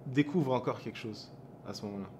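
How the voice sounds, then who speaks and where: A man's voice: mid-range voice, very animated, fairly quick, gravelly, a little mellow. Reflective man from Montreal, noisy café. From Montreal, Quebec. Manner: reflective, searching for words with many fillers. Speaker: hip-hop artist guest. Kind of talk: casual interview chat.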